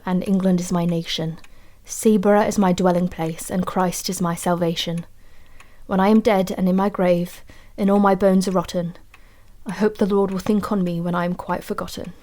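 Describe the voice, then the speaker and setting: Actress as anxious woman antiquarian, haunted, measured confiding storytelling, mid-pitched, audio drama. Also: a little mellow, close to an everyday voice. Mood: brooding, intent.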